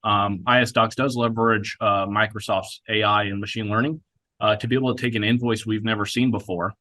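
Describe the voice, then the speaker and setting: A man with a fairly low voice presents software in a conversational, businesslike manner. The sound is dry and close, as he talks through a live product demo. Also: steady tone, fairly quick, no echo.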